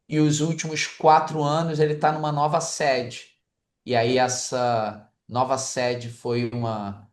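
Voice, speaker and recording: Brazilian guy, super slow, lots of ums, echoey room sound. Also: medium pitch. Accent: Brazilian Portuguese. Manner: hesitant, with many filler sounds. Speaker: museum director. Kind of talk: interview answer.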